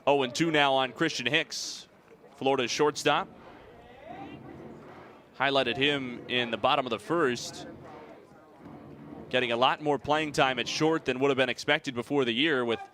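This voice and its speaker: A young American man doing baseball play-by-play. His voice is bright and forward at a middling pitch, and he lays out the action in measured, articulate sentences. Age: young adult. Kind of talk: sports play-by-play commentary.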